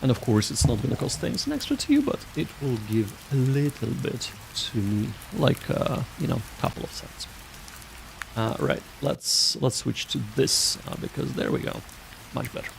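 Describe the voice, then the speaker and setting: A man with a creaky, gravelly voice at a middling pitch hosts a casual livestream. He talks to viewers in a halting, meandering way, restarting his sentences. A faint rain-like ambience runs behind his voice. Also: big pitch swings, background noise. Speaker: livestream host.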